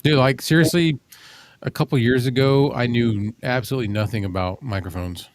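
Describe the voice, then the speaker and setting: A man's voice: mid-range voice, fairly quick, a little hiss or hum. A man chatting easily on a podcast, explaining microphones from his own experience. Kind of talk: casual chat about microphones.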